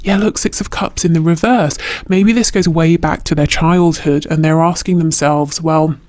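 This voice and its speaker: A man's voice: on the high side, steady tone, moderate pace, a little mellow, dry recording. A man giving an unscripted spiritual tarot reading to the viewer, reflective and often hesitant as he searches for phrasing. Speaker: tarot reader.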